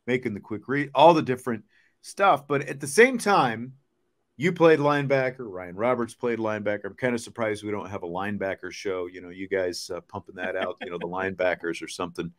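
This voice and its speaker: A man hosting casual sports talk, chatty, phrasing his questions in a halting way. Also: on the low side. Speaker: sports podcast host.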